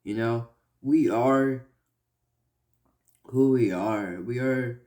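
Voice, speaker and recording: Slow, halting young man from Malaysia. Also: mid-range voice, warm tone. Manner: earnest, halting, many fillers and restarts. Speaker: vlogger. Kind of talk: personal vlog talk.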